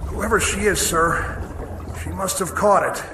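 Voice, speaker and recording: An actor playing an earnest, uneasy navy diver who reports dutifully and haltingly to his superiors in a radio drama. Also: high-pitched, steady tone. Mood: strained, urgent. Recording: noisy, echoing radio drama sound.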